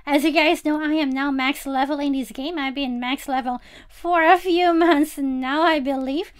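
A woman's voice: high voice, fairly even intonation, normal speed. A woman giving a casual, chatty game tour as a channel host, speaking directly to viewers.

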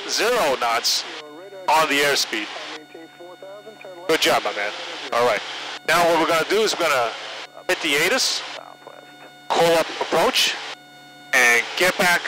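A man working as a flight instructor, giving encouraging, directive hands-on instruction through an aviation headset in a small plane cockpit. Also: on the high side. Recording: loud engine noise over headset intercom.

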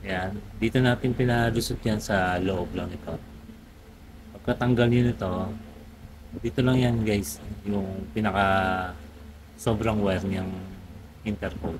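A man with a fairly low voice talks through a hands-on tutorial in a casual way. He often halts and searches for the next word. There is clear background noise in the recording. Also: steady tone. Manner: halting, searching for words. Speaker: vlogger giving a tutorial. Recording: clearly noisy background ambience.

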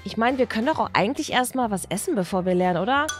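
A high-pitched woman acting as a teenage schoolgirl, chatty and theatrical, talks in exaggerated teen slang. It sounds like an improvised toy story video, with music behind the voice. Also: lively intonation, rapid-fire, lightly acted. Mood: gushing. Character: chatty teenage schoolgirls, dramatic and easily bored. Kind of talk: improvised doll-play storytelling with character voices.

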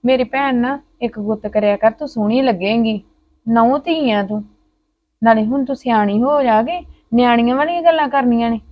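A woman with a Punjabi accent playing a patient sister-in-law who gently lectures and coaxes. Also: on the high side, normal speed, highs cut off, close to an everyday voice. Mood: coaxing. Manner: coaxing, scolding tone. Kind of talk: comic family skit acting.

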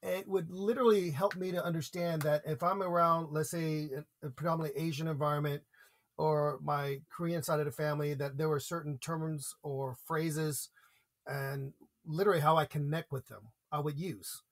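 A man's voice: fairly high pitch, steady tone, moderate pace. An American man from a podcast interview, earnestly explaining public speaking with examples and repeated points. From United States.

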